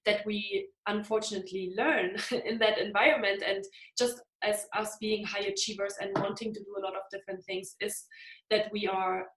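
A woman hosts a relaxed interview and talks through her ideas at length, pausing on fillers as she goes. Her voice sits at a middle pitch. The room around her rings with a clear echo. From Germany. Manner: thoughtful, rambling with fillers. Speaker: podcast host. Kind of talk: casual interview chat.

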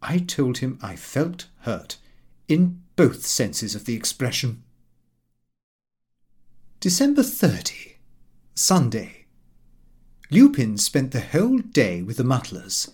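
Guy reading a comic diary audiobook, prim and a bit put out, husky voice, lots of up and down. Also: medium pitch, a little mellow. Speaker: audiobook narrator. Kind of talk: scripted first-person comic narration.

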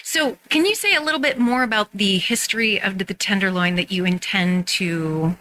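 Bright-voiced woman hosting an interview. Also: mid-range voice, moderate pace. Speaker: podcast host. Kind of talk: casual interview chat.

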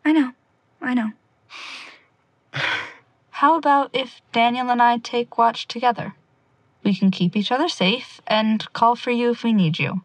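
Girl playing a headstrong little sister in an audio drama, voice a bit high, earnest, dry recording. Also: unhurried, pauses often, no echo. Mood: resigned, calm. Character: determined, stubborn younger sister searching for missing friend.

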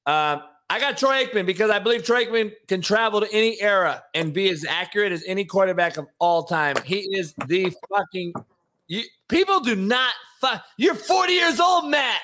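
A man's voice: sits high, animated, normal speed, pushed and bright, slight background noise. A man with an American accent hosting a sports talk show, arguing casually and bluntly with plenty of swearing. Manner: blunt, brash, heavy swearing. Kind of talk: casual profane sports debate.

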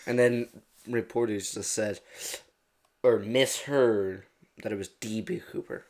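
A man's voice: on the low side, fairly even intonation, a little slow, darkish tone. A man co-hosting a casual podcast, cutting in with dry teasing quips. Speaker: podcast co-host.

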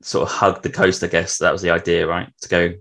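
A man's voice: low voice, monotone, brisk, a crowd in the background. A man who is a native English speaker, hosting a casual travel interview in a chatty way with frequent tag questions. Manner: chatty, often tacks tag questions onto remarks. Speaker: podcast host.

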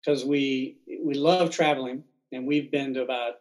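Male guest, average pitch, casual chat, loose, easygoing. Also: fairly even intonation, normal speed, darkish tone. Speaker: podcast guest.

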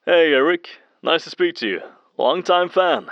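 A man's voice: on the high side, animated, normal speed, no room sound. A man acting as a friendly, eager audio technician and fan in an audio drama, chatty and a little hesitant, heard over a phone. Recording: muffled band-limited phone call, dry.

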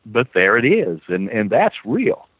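A man's voice: mid-range voice, unhurried, slightly rough, no echo. Southern man on a tinny phone line. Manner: animated, chuckling storyteller. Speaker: guest author. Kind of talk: casual podcast chat.

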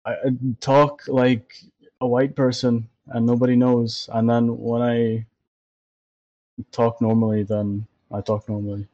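Brief: tired Trinidadian man, animated and profane, dull dry recording. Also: mid-range voice, unhurried, no echo. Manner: animated, swears freely. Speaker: podcast co-host. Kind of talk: casual chat.

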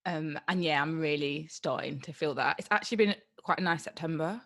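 A woman's voice: deep voice, fairly quick, no echo, clean studio sound. A young British woman co-hosting a podcast in casual chat, telling stories animatedly with a lot of "like". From Britain. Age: young adult. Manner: animated storytelling, lots of "like". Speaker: podcast co-host.

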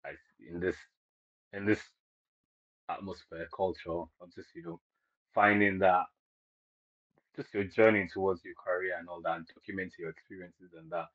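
A man's voice: deep voice, steady tone, normal speed, pauses often, a bit bright. A man from Nigeria, hosting a podcast chat in a thoughtful, hedging way full of fillers. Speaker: podcast host. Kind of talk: casual chat. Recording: dull lo-fi audio.

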